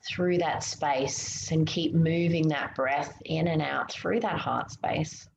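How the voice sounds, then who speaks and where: A low-pitched woman with a creaky edge guides a meditation very slowly. Her delivery is soothing and gentle, with pauses between instructions. The recording sounds roomy. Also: fairly even intonation. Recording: muffled, roomy, noticeable background hiss.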